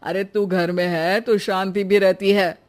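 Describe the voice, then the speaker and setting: A woman's voice: mid-range voice, little pitch movement, unhurried, close to an everyday voice. A woman voicing an awkward adult brother with a hesitant, hedging manner in a cartoon family story.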